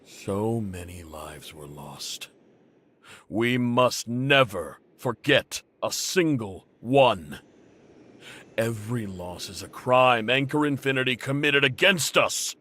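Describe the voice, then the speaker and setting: A man's voice: mid-range voice, lively intonation, slow, pushed and bright, exaggerated voice. A man voicing a bitter, manipulative faction leader in an anime game, theatrical and full of pointed questions. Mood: somber, menacing.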